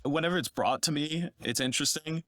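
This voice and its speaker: A man's voice: on the high side, a little fast, bright, forceful tone. A man in his twenties, a guest on a podcast, chatting casually in a laid-back, self-deprecating way with lots of fillers. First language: English. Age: 20s. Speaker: guest polyglot.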